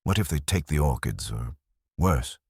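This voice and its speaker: A man voicing a terrified, deferential household servant in an audiobook, in an anxious, hushed manner. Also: rumbling low, expressive, normal speed, slightly rough, dry recording, studio quality, exaggerated voice. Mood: fearful.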